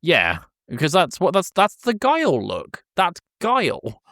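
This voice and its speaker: A man's voice: high-pitched, wildly swooping pitch, a little slow, a bit bright, no room sound, clean studio sound. A man hosting a podcast, casually discussing film with a pedantic, detail-minded way of talking. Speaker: podcast host.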